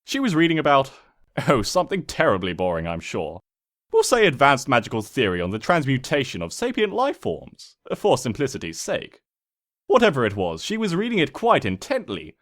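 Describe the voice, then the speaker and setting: A man's voice: medium pitch, a bit bright. A man voicing a smug, mischievous trickster spirit who narrates a comic storybook in a pompous, sardonic, theatrical way.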